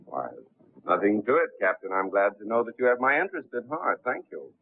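Smooth polite gentleman con man, lowish voice, old 30s film sound, tinny. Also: big pitch swings, a little fast. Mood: affable, persuasive. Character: suave unflappable gentleman impostor posing as an attorney. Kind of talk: 1930s film acting.

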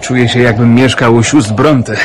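Actor as an educated, wry man, mid-pitched. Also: fairly even intonation, moderate pace, natural voice. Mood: reflective. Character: educated, dryly ironic man hiding at a farm. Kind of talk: radio drama acting. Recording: noisy radio play recording.

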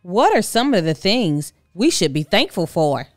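A woman voicing a kindly female bird schoolteacher in a children's storybook, measured and reassuring. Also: on the low side, sing-song, no echo, clean studio sound, soft background music.

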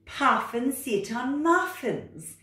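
Woman putting on a bossy, high-pitched, emphatic storybook cat. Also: very animated, pushed and bright, full character voice. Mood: insistent. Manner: emphatic, singsong, drawn-out rhyming pronouncements. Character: bossy, impatient know-it-all cat in a picture book. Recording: roomy, distant microphone.

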